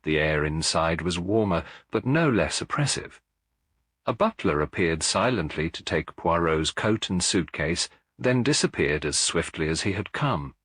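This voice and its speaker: A man reading a scripted mystery story as an audiobook narrator, in a measured, formal, dramatic storytelling manner. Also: sits low, lively intonation, a bit bright, husky, no room sound, clean studio sound.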